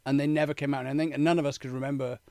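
A man's voice: medium pitch, little pitch movement, very fast, a little mellow, dry recording, clean studio sound. An English man in his fifties chatting casually as a guest, telling rambling stories and swearing freely. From England. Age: 50s. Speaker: guest musician. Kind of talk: casual podcast chat.

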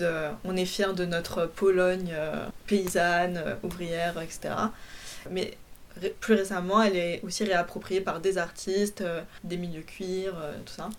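A woman's voice: on the low side, slight background noise. A woman in her twenties chatting casually, with many fillers and restarts and frequent laughs. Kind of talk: casual chat.

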